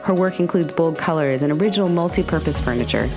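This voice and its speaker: A woman with a notably low voice hosts a podcast in easy, rambling chat, full of "um"s and restarts. Faint music plays under her voice. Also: fast talker. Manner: chatty, laughing, many fillers and restarts. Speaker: podcast host. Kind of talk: casual chat.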